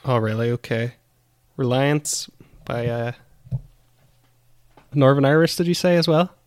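A man's voice: medium pitch, measured pace, darkish tone, dry recording. A man co-hosting a podcast, chatting and bantering casually with the others. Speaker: podcast co-host.

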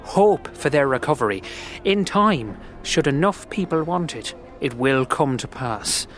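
A man playing a gentle, sorrowful, earnest old man in a fantasy audio drama, wistful in manner. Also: on the high side, lively intonation, a little slow. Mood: fervent. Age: older. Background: music.

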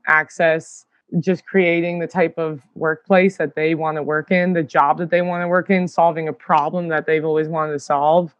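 A woman's voice: deep voice, a bit bright, no room sound. Eager woman guest, filler-heavy chat, over a muffled dry call line. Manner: eager, talks in bursts with many fillers. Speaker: podcast guest. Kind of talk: casual chat.

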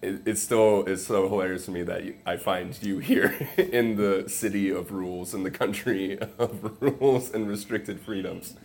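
A man's voice: low-pitched, normal speed, creaky, few pauses. A man hosting a podcast, eagerly prompting his guest in a casual interview and laughing often. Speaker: podcast host.

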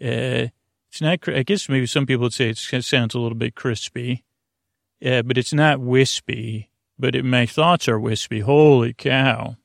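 A man delivers a rambling bedtime monologue as a podcast host meant to lull listeners to sleep. His mid-pitched voice is full of creak and fry. He meanders haltingly, circling back to correct his own words, in a very dry recording with no room sound. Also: steady tone, no room sound. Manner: meandering, halting, self-correcting, drifting into tangents.

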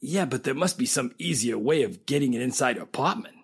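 A very raspy, dim henchman. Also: mid-range voice, lively intonation, brisk, heavily acted. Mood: worried, doubtful. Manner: deferential, uneducated gangster talk. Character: dim, nervous male crook henchman posing as valet. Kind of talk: 1940s radio drama acting.

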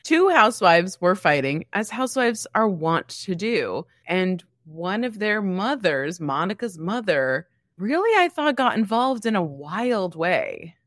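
Animated woman podcast co-host chatting casually. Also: mid-range voice, a little slow, few pauses, no echo, studio quality. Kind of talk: casual chat about reality TV.

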